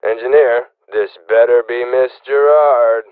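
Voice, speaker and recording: Casting: brusque, folksy railroad engineer for mystery game, mid-pitched, crackly narrow radio intercom sound, impatient and curt. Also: male voice, fairly even intonation, unhurried, smooth, dry recording. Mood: irritated. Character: gruff, curt, stubborn male train engineer. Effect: radio intercom filter. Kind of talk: video game character acting.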